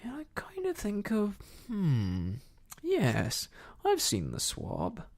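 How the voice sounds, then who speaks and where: Man playing storybook seafaring landlord, cunning, blustering, salty sailor talk, gravelly creak, wildly animated pitch. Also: slow, full character voice. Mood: excited. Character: cunning one-legged seafaring tavern landlord, hearty then stern.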